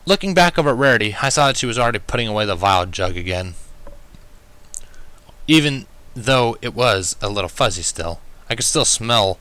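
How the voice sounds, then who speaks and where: Animated, bright-voiced man narrating a story. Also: lowish voice, brisk, smooth. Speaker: fan fiction reader.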